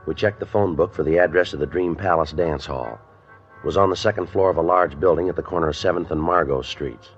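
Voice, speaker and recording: A man acting a terse, businesslike police sergeant in a clipped, deadpan radio drama style. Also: very deep voice. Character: terse, businesslike male police sergeant narrating his case. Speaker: police detective and narrator. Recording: dull old radio recording with music.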